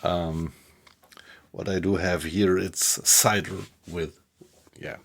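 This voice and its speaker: A German-accented man streams a game and talks casually with his viewers, relaxed and a little hesitant. His voice sits very low and has a gravelly, husky edge. He speaks at an unhurried pace. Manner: relaxed, hesitant, often chuckling. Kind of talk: casual chat with viewers while gaming.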